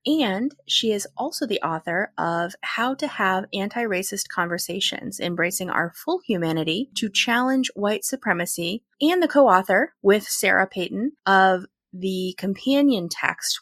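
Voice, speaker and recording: A woman's voice: medium pitch, fairly bright tone, no echo. A woman hosting an interview podcast, asking thoughtful questions in a conversational way. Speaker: podcast host.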